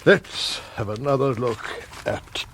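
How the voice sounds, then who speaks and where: A blustering, furtive English husband in a radio comedy. The voice is gravelly and slow-paced, muttered in clipped phrases, with faint noise behind it. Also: male voice, medium pitch. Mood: secretive, appalled. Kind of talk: radio comedy drama acting.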